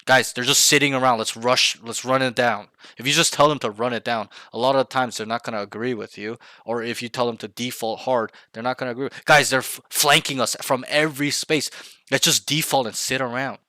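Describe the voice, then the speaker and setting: A man's voice: medium pitch, steady tone, fairly quick, hardly any pauses, bright, forceful tone, dry recording. A man coaching a younger player, blunt and emphatic, repeating key points to drive them home. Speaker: game coach. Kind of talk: coaching session, direct feedback.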